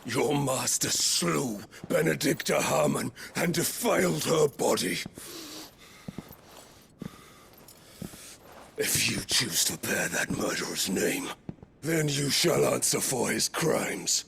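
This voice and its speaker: A man playing a menacing, mocking warlord villain in a video game, theatrical and gravelly, with deliberate, taunting delivery. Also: medium pitch, a bit bright, a little hiss or hum. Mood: vengeful, bitter. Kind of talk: dramatic video game acting.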